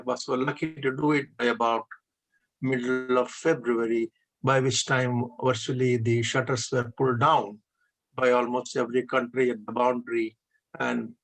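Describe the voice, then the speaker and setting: Gravelly older man from India. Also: mid-range voice, rough recording. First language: Hindi. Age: 70s. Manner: deliberate, with frequent hesitation fillers. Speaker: guest, retired engineer. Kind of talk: measured conversational interview answers.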